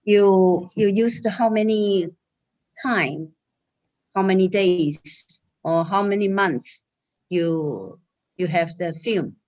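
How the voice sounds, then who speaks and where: A woman moderating a video call panel, speaking slowly. Her question comes out in halting pieces with many restarts and fillers. She keeps an even, middle pitch. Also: rough recording. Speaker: webinar moderator. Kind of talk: live online panel question.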